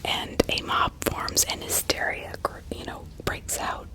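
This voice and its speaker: A woman narrating true crime as an ASMR host in a breathy whisper, pausing often mid-sentence and adding small asides to the listener.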